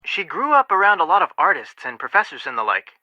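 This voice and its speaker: An actor playing a cautious, level-headed, skeptical young detective in scripted video game dialogue. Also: male voice, sits high, very animated, fast talker, bright, forceful tone, no echo, lo-fi sound, heavily acted. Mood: reassuring.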